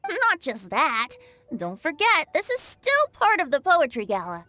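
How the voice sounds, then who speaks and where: A woman's voice: sits high, huge pitch swings, unhurried, pushed and bright, full character voice. A woman voicing a playful, breezy young male bard in a video game, with a lilting, teasing, flowery way of talking. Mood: friendly, explaining. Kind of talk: scripted game dialogue.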